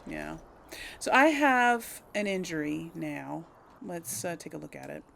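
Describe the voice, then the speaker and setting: A woman's voice: sits low, lively intonation, unhurried, creaky, a bit bright, slight background noise. A woman giving casual, hesitant let's play commentary, thinking aloud to viewers as she plays. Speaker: host of a gaming let's play.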